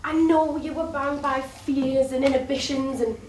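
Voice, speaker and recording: A woman's voice: high voice, fairly even intonation, normal speed, a little mellow, lightly acted. A woman acting a wounded, sardonic long-time lover in an incredulous, biting stage-play style. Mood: pleading. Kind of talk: theatrical stage play dialogue. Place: theatre stage, recorded from afar. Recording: distant, echoey, noisy stage recording.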